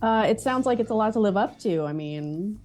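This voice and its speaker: A woman's voice: mid-range voice, expressive, moderate pace, darkish tone, faint music behind. A woman chatting casually as a guest on a show. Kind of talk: casual chat.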